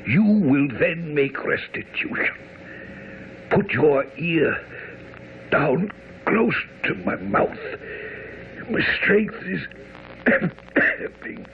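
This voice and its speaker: A man's voice: fairly high pitch, extremely slow, pauses often. An actor playing a frantic, dying old father confessing a secret in a radio drama. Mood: urgent, weakening. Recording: muffled, faintly noisy old radio broadcast.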